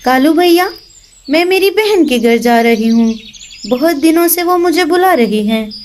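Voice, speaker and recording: A woman's voice: sits high, expressive, a little slow, darkish tone, a little hiss or hum. A woman voicing a clever, caring mother bird in a children's cartoon, plucky and motherly. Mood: warm, hurried.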